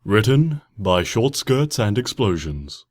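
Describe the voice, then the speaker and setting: A man reading a fan-written story aloud in a gentle, lilting storybook manner. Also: lowish voice, very animated, takes it slowly. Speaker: narrator. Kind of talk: fanfiction audiobook narration. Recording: soft music bed behind.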